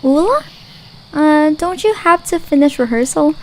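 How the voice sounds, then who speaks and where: A woman's voice: sits high, lively intonation, a little slow, darkish tone, slight background noise, low-quality recording. A woman voicing an earnest, hesitant young girl adventurer in an audio drama. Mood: worried, guilty. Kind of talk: audio drama character acting.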